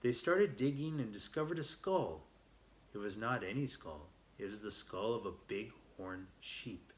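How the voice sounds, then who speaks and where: A man telling a children's story aloud, reading a little haltingly and repeating words as he goes. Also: lowish voice, lively intonation, slight background noise.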